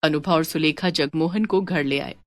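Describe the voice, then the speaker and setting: A woman's voice: sits low, moderate pace, no room sound, studio quality. A woman storyteller reading a scripted story as narration.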